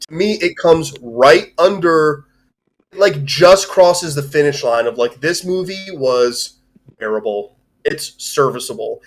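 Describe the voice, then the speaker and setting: A man's voice: fairly high pitch, slow pace. A man co-hosting a livestream, casually talking through a movie review in a rambling way with frequent swearing. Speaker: stream co-host reviewing a movie.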